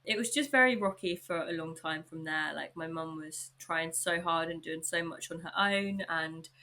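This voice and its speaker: A young woman with an English accent hosting her own podcast, chatting warmly and informally, a little husky from a sore throat. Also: low voice, moderate pace. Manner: upbeat, chatty, lots of likes and you knows. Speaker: podcast host. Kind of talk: casual solo podcast chat.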